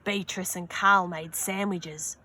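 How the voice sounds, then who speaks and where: A young woman reading a children's picture book aloud outdoors, slow and expressive, with gasps and little asides. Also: lowish voice, slight background noise. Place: outdoors on a sunny wooden deck.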